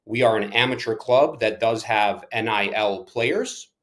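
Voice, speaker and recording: A man born in Ukraine, a soccer club manager and coach, answering interview questions emphatically, racing through some phrases and slowing on others. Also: on the low side.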